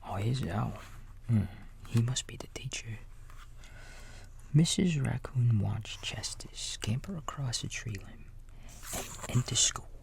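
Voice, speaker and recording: A man doing ASMR as a bedtime babysitter, mostly in a soft, breathy whisper with some crackle in it. He goes slowly and soothingly, moving between storybook reading and casual, big-brotherly asides. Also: medium pitch, lively intonation.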